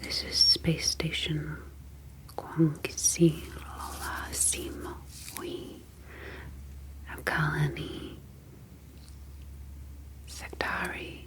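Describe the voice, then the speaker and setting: A woman playing a calm, formal humanoid alien scientist in an ASMR roleplay, speaking in soft, breathy whispers with measured, deliberate phrasing. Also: deep voice.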